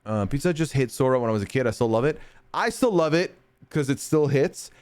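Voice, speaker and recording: A man's voice: medium pitch, lively intonation, fairly quick, a little mellow. A man streaming and answering chat in a rambling, casual way with frequent laughs, his accent sometimes taken for British. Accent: mixed, sometimes heard as British. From North America. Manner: chatty, rambling, laughs often.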